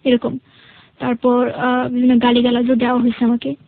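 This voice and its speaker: High-voiced young Bangladeshi woman recounting her story. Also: completely flat delivery, normal speed, creaky, phone-quality sound. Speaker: guest telling her life story. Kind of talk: conversational personal storytelling, quoting reported dialogue.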